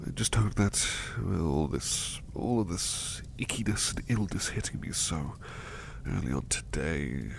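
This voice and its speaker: A man playing a gentle, devoted butler in a soft ASMR roleplay, bashful and effusively polite, repeating his phrases in a halting, hushed way. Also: sits low, lively intonation, vocal fry, a little hiss or hum, exaggerated voice. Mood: earnest, apologetic. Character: gentle devoted male butler, bashful, eager to please, doting.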